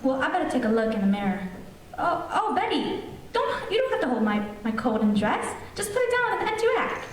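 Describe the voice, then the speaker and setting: A woman's voice: sits high, big pitch swings, brisk. A woman playing a fussy, kindly middle-aged housewife in old-time radio comedy style. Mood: fussy, chatty. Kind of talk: 1940s radio comedy acting. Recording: very echoey, distant, faint noise.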